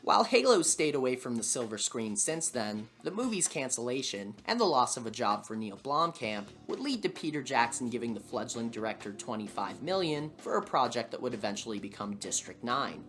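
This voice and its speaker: A man delivers scripted documentary narration in a mid-pitched, very animated voice. His delivery is wry, with dry humour. Music plays behind him. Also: fairly quick, few pauses. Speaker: video essay host and narrator. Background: background music.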